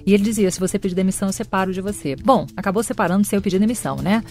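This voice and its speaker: A woman's voice: mid-range voice, very fast, soft background music. A middle-aged woman, a career coach, talking expressively in an interview and acting out quoted lines. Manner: expressive, acts out quoted voices. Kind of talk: conversational interview.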